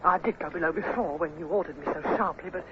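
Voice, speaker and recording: An actress playing a plucky, talkative British duchess in an old radio drama, insistent and grand, with a British accent. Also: female voice, on the low side, quick pace, natural voice. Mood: pleading. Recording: muffled, noisy old radio recording.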